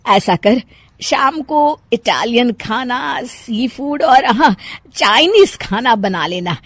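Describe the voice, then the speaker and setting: A woman voicing a lonely, fussy middle-aged mother-in-law in a moral story, with an expressive, drawn-out, complaining delivery. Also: on the high side, slight background noise. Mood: bossy, scheming. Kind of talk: animated moral-story character acting.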